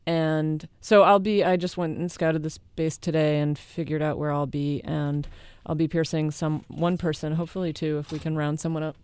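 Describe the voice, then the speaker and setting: A woman in her late thirties chatting casually as an interview guest, halting as she explains things. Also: deep voice, level tone, darkish tone, clear, resonant tone, no echo. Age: late 30s. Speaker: guest, needle-piercing performance artist and teacher.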